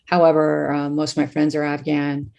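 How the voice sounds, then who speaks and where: Low-voiced woman in her forties. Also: completely flat delivery, moderate pace, vocal fry, a little mellow, highs cut off. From California. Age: 40s. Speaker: guest author. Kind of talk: casual interview.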